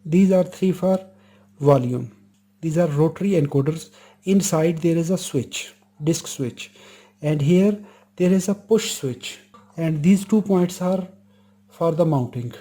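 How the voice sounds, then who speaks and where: A man's voice: a little high, a little slow, dark tone. A man hosting an electronics repair channel, walking through a repair step by step in short, simple sentences. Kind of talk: hands-on repair demonstration. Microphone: lapel mic.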